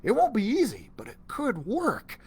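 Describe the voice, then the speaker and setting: A man's voice: very high-pitched, wildly swooping pitch, moderate pace, vocal fry, a little mellow, husky, voice pushed up, exaggerated voice. A man playing an eager young pirate boy. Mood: determined.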